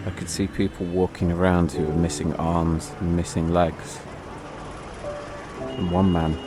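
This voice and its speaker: Very deep-voiced man telling a suspenseful story. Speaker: storyteller and podcast host. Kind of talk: dramatic first-person travel narration.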